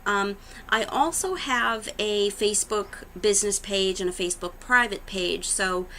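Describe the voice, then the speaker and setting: Chatty Boston-accented woman with a bright voice. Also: mid-range voice, measured pace, slight background noise. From Massachusetts, USA. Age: 30s. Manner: chatty, rambling with many ums. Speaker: vlogger introducing her channel. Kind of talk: casual talk to camera.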